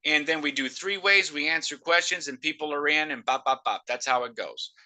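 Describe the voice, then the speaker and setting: Loud, excitable man on a video call. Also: fairly high pitch, brisk. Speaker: host of a sales call. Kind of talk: live sales pitch on a video call. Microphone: noise-cancelling microphone.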